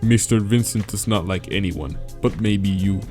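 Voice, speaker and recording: A man voicing a big, simple, creepy gray male monster who speaks in broken, halting English. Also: fairly low pitch, soft background music, natural voice. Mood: blunt. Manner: broken halting English, short flat sentences.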